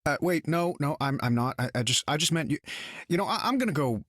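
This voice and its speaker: Rambly quick-witted inventor, game hero, super animated, dry sound. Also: male voice, on the high side, no room sound. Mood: flustered. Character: flustered, chatty, self-pleased male inventor hero. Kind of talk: video game voice acting.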